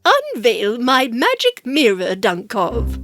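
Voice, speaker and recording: A woman's voice: fairly high pitch, huge pitch swings, slow, heavily acted. Casting: woman as vain, imperious cartoon queen, commanding, dramatic swoops, music behind. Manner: haughty, commanding. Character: vain, evil-hearted, imperious fairy tale queen. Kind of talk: animated fairy tale character acting.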